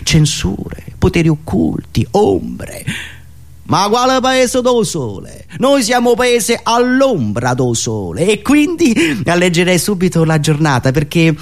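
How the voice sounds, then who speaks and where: A man from Italy performing a theatrical comic monologue as a satirist, dramatic and emphatic, with drawn-out pauses. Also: high voice, sing-song.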